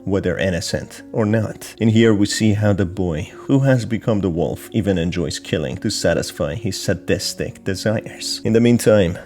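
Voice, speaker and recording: Deep-voiced man narrating a horror recap. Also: darkish tone. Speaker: narrator explaining horror stories. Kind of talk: scripted story recap narration. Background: background music.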